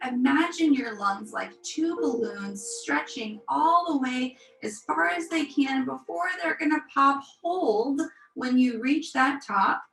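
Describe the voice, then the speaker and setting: A woman teaching yoga, leading a guided meditation in a soothing, unhurried, gently instructive manner. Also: high voice, animated, creaky. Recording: roomy echo, music behind. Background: music.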